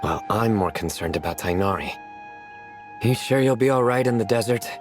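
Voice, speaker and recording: A man playing a deadpan desert warrior. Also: lowish voice, moderate pace, over loud music, lightly acted. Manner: deadpan, dry.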